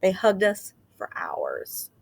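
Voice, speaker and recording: Female read-aloud teacher, mid-pitched, expressive and deliberate storyteller for young listeners. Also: vocal fry, breathy edge. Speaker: teacher reading aloud to children. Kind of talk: picture book read-aloud.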